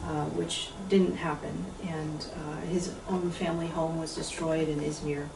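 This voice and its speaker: A woman in her fifties gives a reflective talk about her translation, her voice low and gravelly with a measured pace and frequent pauses. She is picked up from some distance in an echoey lecture room, with a faint hiss of noise underneath. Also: flat delivery. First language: English. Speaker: author and translator giving a talk. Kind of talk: book talk with poetry reading.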